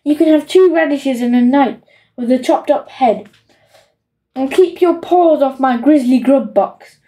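Woman acting greedy little boy, storybook brat, high-pitched, emphatic, gleefully rude, soft-edged tone. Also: a little slow, recorded from a distance, poor audio. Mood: scornful. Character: bossy, greedy, scheming young boy dictating his will.

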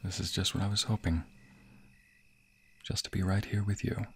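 A man acting a sweet, bashful young waiter on a romantic date in an ASMR roleplay, with a soft, breathy, playful and hesitant delivery. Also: deep voice, steady tone, moderate pace, full character voice. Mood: tender, hushed. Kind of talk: ASMR roleplay, intimate scripted boyfriend monologue.

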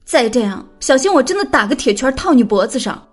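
A woman's voice: sits high, big pitch swings, brisk, soft background music. An actress playing a spirited, blunt but kind-hearted young woman in an audio drama, playfully scolding. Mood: exasperated. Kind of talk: audio drama character acting.

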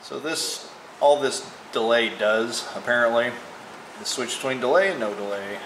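Male presenter for an unscripted tech walkthrough, talks through each step casually, echoey room with clear background noise. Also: medium pitch, moderate pace. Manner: unscripted, thinking aloud while operating a device. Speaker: presenter of a vintage electronics show. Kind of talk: casual hands-on demonstration. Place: indoors at a table. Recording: roomy, noisy, radio static behind.

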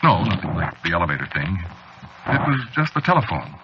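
An actor playing an anxious, haunted man confiding his obsession haltingly in a 1940s radio drama. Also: deep voice, very animated. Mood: uneasy confiding. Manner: hesitant, rambling, halting confiding delivery. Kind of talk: 1940s radio drama acting, confessional narration. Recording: dull, noisy old radio recording.

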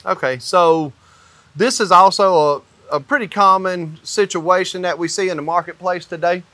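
High-pitched man explaining step by step. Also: normal speed, bright, forceful tone, no room sound. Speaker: company owner explaining construction. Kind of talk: hands-on explanatory demonstration. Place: indoors in a metal-walled barn or shop.

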